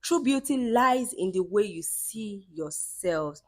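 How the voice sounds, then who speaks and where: A woman voicing a weak, kindly old woman of the forest in a folktale, gentle and wise. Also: medium pitch, animated, takes it slowly.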